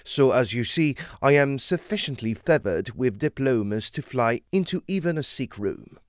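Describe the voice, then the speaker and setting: An actor playing a learned, secretive explorer of Egypt in an audio drama, formal and emphatic in manner. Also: male voice, mid-range voice, expressive, normal speed, no room sound, studio quality, natural voice. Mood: courteous, proud.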